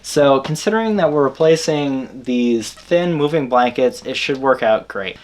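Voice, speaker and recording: A man's voice: mid-range voice, expressive, unhurried. A man giving a casual, easygoing hands-on tutorial as the host of a DIY build video. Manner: informal, easygoing.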